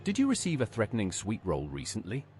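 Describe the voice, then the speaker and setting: A man's voice: fairly low pitch, wildly swooping pitch, fast talker, darkish tone, soft background music. A man's synthetic voice asking polite, plain questions as a game's player character. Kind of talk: text-to-speech game dialogue.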